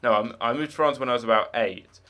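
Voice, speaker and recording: A man's voice: medium pitch, fast talker, pushed and bright. A young man with no marked accent, chatting casually while live-streaming a game, rambling with many fillers and restarts.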